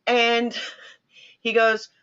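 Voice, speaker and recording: A woman from New York hosts a casual livestream and tells long, rambling stories straight to her viewers. Her voice is bright and forward. The audio is a little muffled and lo-fi. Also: a little high, monotone, extremely slow, clear, resonant tone. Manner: chatty, rambling storyteller who addresses viewers. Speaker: livestream host. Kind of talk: casual chat.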